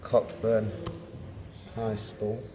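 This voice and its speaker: A man's voice: lowish voice, monotone, very slow, background noise. A man hosts a casual museum walkthrough, chatting and reading exhibit labels aloud. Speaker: vlog host. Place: large indoor museum. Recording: echoey museum hall. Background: museum ambience.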